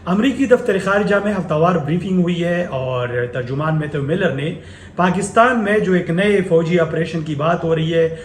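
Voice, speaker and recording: A man with a distinctly high voice and a Pakistani accent delivers news commentary. He moves between reading reports and halting, unscripted explanation. The sound is echoey, with faint noise behind him. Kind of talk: current affairs news commentary, partly read and partly off the cuff.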